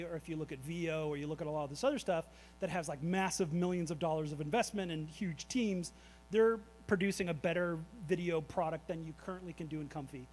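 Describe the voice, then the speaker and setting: A man's voice: on the high side, a little mellow, a little hiss or hum, rough recording. A man speaking loosely and candidly at a meetup, answering audience questions about his creative tech work with plenty of fillers. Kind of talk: casual presentation Q&A. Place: event space at a meetup.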